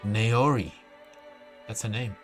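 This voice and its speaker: A man livestreaming a video game, reading dialogue aloud haltingly and dropping in his own reactions. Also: lowish voice, soft background music. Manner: reads on-screen text aloud haltingly, interjects reactions. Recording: clearly noisy mic with clicks.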